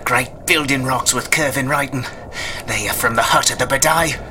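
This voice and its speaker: An actor playing a fearful, superstitious guide in a radio drama, solemnly telling ominous old legends. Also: male voice, medium pitch, moderate pace, noisy background, close to an everyday voice.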